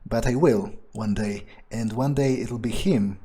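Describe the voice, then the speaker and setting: A man voicing an arrogant, cruel, brooding vampire in a video game roleplay, speaking haltingly with haughty menace. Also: medium pitch, dark tone, breathy edge. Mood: brooding, resentful. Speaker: role-playing game streamer. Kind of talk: in-character game playthrough monologue.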